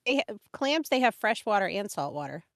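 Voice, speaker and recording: A woman's voice: mid-range voice, sing-song, a little fast. A woman in casual chat as a podcast guest.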